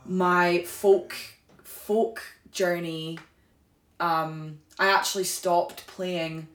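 A woman with a slightly low voice shares her personal story as a podcast guest. She talks in a loose, candid flow, leaning on "like" and "you know". The room around her is echoey. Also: fairly even intonation, extremely slow. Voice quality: warm, flowing delivery with many "like" and "you know" fillers. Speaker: guest, sacred singer.

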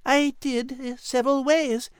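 A woman's voice: sits high, steady tone, a little slow, clear, resonant tone, dry recording, clean studio sound. A woman voicing a refined, learned, cheerful animal character with a French accent and a prim, polite manner in playful podcast banter. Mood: patient explaining.

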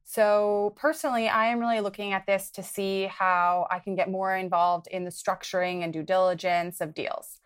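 A young woman from Wisconsin talks as a podcast guest, earnest and conversational. Her voice sits at an ordinary middle pitch. She gives advice in a frank way. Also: level tone, moderate pace, few pauses, smooth. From Wisconsin, United States. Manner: earnest, advice-giving, occasional laughs. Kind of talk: conversational interview.

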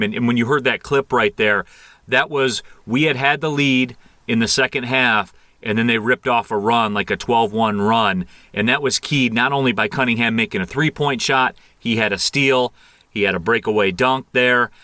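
A man giving animated, conversational sports analysis as a guest, repeating phrases for emphasis. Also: medium pitch, moderate pace, no echo.

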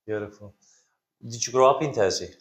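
A man's voice: medium pitch, fairly quick, echoey room. A man interviewing a guest in casual chat, chatty and jokey, prompting with questions.

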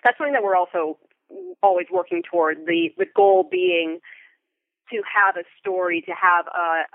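An American woman being interviewed as a Foley artist, explaining things thoughtfully and in detail, with halting pauses. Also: on the low side, sing-song, creaky, no room sound, narrow, tinny audio. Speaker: guest, theatre Foley artist. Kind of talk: interview conversation.

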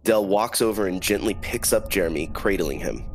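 A man with a cold, running a tabletop game as dungeon master and narrating the scene in a slow, solemn way. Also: deep voice. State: has a cold.

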